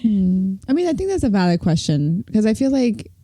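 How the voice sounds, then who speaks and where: A woman's voice: medium pitch, big pitch swings, fairly quick, dark tone, no room sound. A young woman from Brooklyn, co-hosting a podcast in casual chat, giggly and full of restarts. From Brooklyn, New York. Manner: chatty, giggly, trailing restarts. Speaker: podcast co-host.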